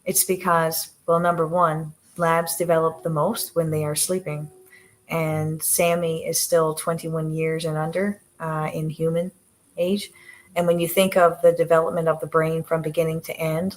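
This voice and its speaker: A woman with a soft, gentle voice that sits a little low talks to her livestream viewers from the living room sofa. She hesitates often, restarting phrases with plenty of fillers, and her voice tends to trail off. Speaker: livestream host with her dogs. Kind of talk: casual live chat to viewers.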